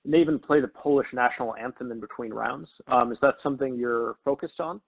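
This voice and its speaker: A man with a creaky, mid-pitched voice works as a reporter. He asks his questions politely in a press-call style. The sound is squeezed through a phone line. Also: fast talker. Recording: crackly narrow phone line.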